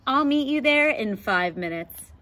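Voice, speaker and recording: A woman's voice: sits high, lively intonation, unhurried, pushed and bright, voice pushed up, heavily acted. A woman voicing a bossy, unimpressed male lion who teaches lessons, with curt, dry lines. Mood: impatient.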